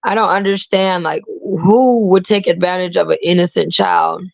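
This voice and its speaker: A woman guest chatting casually and haltingly, with restarts, speaking with feeling. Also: lowish voice, vocal fry, no room sound. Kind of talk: casual chat. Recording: dull dry lo-fi sound.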